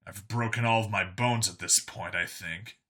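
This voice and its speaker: A man's voice: lowish voice, fairly even intonation, moderate pace, bright, forceful tone, pitched below the performer's own voice. A man voicing a world-weary clone cop in an audio story, burdened by guilt and explaining things matter-of-factly. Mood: grim, resigned.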